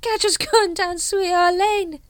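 A woman's voice: very high voice, fairly even intonation, unhurried, no echo, studio quality, voice pushed up. A woman voicing a nervous, weaselly male watchman who slurs and quavers. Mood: nervous slurring. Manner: slurred, quavering.

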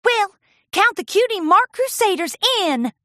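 A woman's voice: extremely high pitch, big pitch swings, unhurried, a bit bright, no room sound, studio quality, pitched above the performer's own voice, exaggerated voice. A woman voicing an eager little farm filly with a cowgirl accent in a storybook reading. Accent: country cowgirl.

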